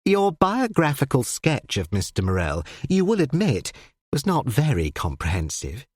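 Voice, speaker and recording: A reader voicing a cool, sardonic elderly male judge who speaks in precise, measured phrases. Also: mid-range voice, wildly swooping pitch, darkish tone, breathy edge, dry recording, clean studio sound.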